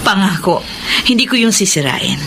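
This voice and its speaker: Principled lady mayor in a radio soap, composed, medium pitch, faint noise. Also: sing-song, normal speed. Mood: earnest, grateful. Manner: composed, earnest, firm. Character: principled, firm, modest middle-aged woman mayor. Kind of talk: radio soap drama acting.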